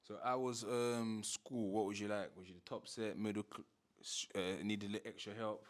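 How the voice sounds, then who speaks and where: A man's voice: fairly low pitch, moderate pace. A young man hosting a casual interview, asking questions with slang and the odd stammer. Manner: relaxed questioning with London slang and stutters. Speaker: interviewer and host.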